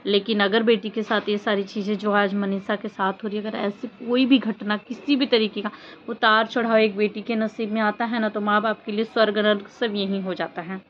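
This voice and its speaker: A woman's voice: mid-range voice, flat delivery, normal speed. A woman vlogger giving casual opinion commentary in an emphatic, conversational way with rhetorical questions. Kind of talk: casual opinion commentary on social media drama. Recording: dull lo-fi audio with background noise.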